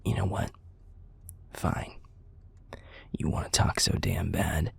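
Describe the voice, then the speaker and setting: A man playing a bitter, menacing werewolf pack leader in an audio roleplay, profane and commanding in a breathy growl. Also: deep voice, little pitch movement, slow pace, a bit bright, whispered, exaggerated voice. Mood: weary, cold contempt. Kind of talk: audio roleplay drama acting.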